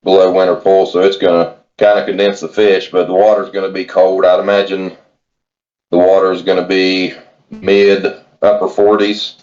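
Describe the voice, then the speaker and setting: A man's voice: deep voice, level tone, moderate pace, a little mellow. A man from West Virginia chatting casually as a podcast guest, plainspoken and folksy, over slightly lo-fi call audio. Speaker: podcast guest, kayak angler. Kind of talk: casual chat, informal advice.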